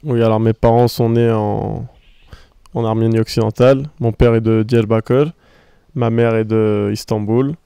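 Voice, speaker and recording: A young male footballer from France interviewed outdoors among trees, speaking calmly into a handheld microphone with many hesitant fillers. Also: fairly low pitch, measured pace, creaky, clean tone, no echo. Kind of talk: post-match style interview. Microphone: handheld microphone held by interviewer.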